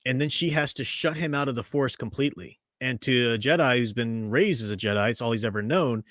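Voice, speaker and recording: A man's voice: mid-range voice, a little fast, fairly bright tone, dry recording, studio quality. A man hosting a casual review chat, talking eagerly and at length, often restarting his sentences. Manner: enthusiastic, talkative, restarts often. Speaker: podcast host.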